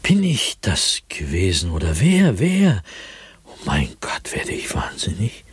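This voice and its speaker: An actor playing a tormented man sliding into madness, recounting his nightmares as a diary in a radio drama, in a brooding, halting manner that swings between hushed and agitated. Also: sits low, wildly swooping pitch, husky, dry recording, full character voice. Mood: despairing, frightened. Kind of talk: dramatic radio play monologue.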